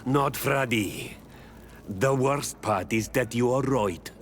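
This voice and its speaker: An actor playing a proud, hostile island village chief, gruff and disdainful, in a video game. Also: male voice, lowish voice. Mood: grudging. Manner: gruff, slow, deliberate, disdainful. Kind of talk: video game dialogue acting. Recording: clear ambient noise behind the voice.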